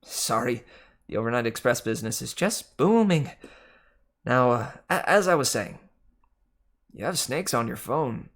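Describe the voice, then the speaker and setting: Worldly male god for a storybook, played by a reader: chatty, mischievous, teasing, unhurried. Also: medium pitch, expressive. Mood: breezy, chatty.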